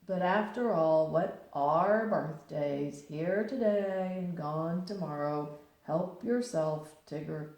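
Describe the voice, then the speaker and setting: Brief: woman voicing a glum, slow old storybook donkey, echoey room. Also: deep voice, darkish tone, highs cut off. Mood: gloomy. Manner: slow, drawn-out, mournful. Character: gloomy old male donkey, slow and resigned.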